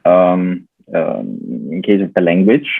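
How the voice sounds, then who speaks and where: A German-accented man with a very low voice talks hesitantly in a casual chat, pausing and restarting often. His voice is husky and comes through a tinny, dry phone-like line. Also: sing-song, no room sound. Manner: hesitant, many fillers and restarts. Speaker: guest.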